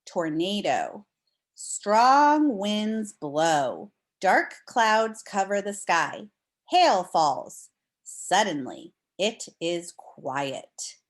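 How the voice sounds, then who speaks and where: A woman teacher leading a read-aloud lesson for young children, explaining things simply and reading with deliberate expression. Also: mid-range voice, big pitch swings.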